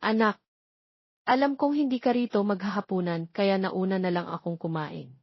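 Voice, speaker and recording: A woman's voice: medium pitch, moderate pace, no room sound, lightly acted. A gracious middle-aged mother in an audio drama, voiced by a woman reader. She is polite and motherly. The recording is dry and slightly dull. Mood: kindly.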